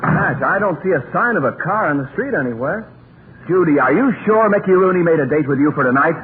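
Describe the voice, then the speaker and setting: A man's voice: fairly high pitch, expressive, a little fast, lightly acted. An actor playing a polished straight man and announcer in a 1940s radio comedy. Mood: puzzled. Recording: tinny, hissy 1940s radio recording.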